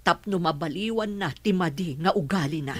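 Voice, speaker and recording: A Filipino actress in a radio drama playing a concerned middle-aged mother and lady of the house, measured and earnest. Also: lowish voice, loud music behind the voice, close to an everyday voice. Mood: worried, earnest. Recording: clearly noisy, ambient background.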